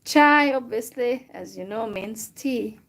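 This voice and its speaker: A young woman from Central Europe chatting casually to her viewers in a halting way, with many fillers and restarts. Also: a little high, a bit bright. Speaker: vlogger. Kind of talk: casual solo chat to viewers.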